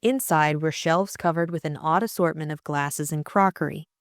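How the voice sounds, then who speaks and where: Low-pitched woman narrating an audiobook. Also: normal speed, no echo, studio quality. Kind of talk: scripted storybook narration.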